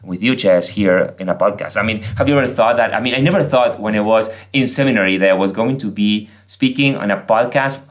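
Man, Argentine Spanish accent, priest, native Spanish speaker, earnest and emphatic, fairly low voice. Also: fairly quick, few pauses. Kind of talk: podcast conversation.

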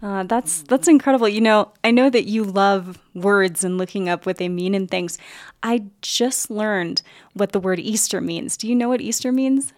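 A woman's voice: medium pitch, moderate pace, a little mellow, dry recording. Thoughtful woman, dry close sound. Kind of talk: casual conversation on faith.

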